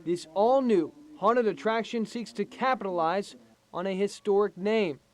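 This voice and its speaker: A man's voice: sits high, unhurried. A man with an American accent delivering scripted television news narration as a reporter. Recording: faint background noise.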